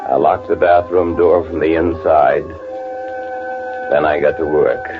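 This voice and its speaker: An actor playing a bitter, gloating murderer who poses as his twin, confessing in halting first-person 1940s radio drama narration. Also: very deep voice, steady tone. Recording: tinny vintage radio recording with music.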